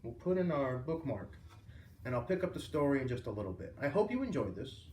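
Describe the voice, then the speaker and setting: A man reading a children's book aloud as a storyteller, with expressive, emphatic phrasing. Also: medium pitch, brisk, slight background noise. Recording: roomy echo, distant microphone.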